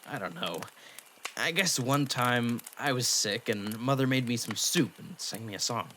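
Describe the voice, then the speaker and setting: An actor playing a brash, boastful young half-demon man with a gruff, defensive manner in a fan audio drama. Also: mid-range voice, lively intonation, a little slow, bright, forceful tone, slight background noise. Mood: grudging. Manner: cocky, defensive, gruff bragging.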